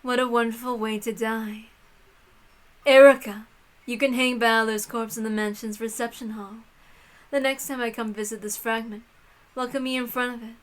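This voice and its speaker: A woman's voice: a little high, steady tone, moderate pace, natural voice. A woman playing a haughty, amused, cruel witch lady in a visual novel read-aloud, with a grand, commanding, theatrical delivery. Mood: coldly triumphant.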